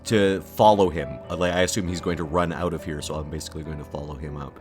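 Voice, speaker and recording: A deep-voiced man talks as a player in a tabletop game. He improvises casually, halting as he thinks out loud, with music clearly audible behind him. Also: fairly even intonation.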